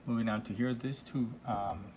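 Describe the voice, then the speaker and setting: A man presenting a casual product demo in a halting, unscripted, explanatory way. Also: mid-range voice, fairly even intonation, a little mellow, slight background noise. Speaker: presenter demonstrating vintage audio gear.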